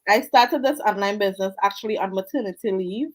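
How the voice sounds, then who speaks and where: Trinidadian lady on a video call, friendly, laughs a lot, mid-pitched voice. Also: brisk. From Trinidad and Tobago. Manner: warm, laughs often, earnest. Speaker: guest, online business mentor. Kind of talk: casual interview.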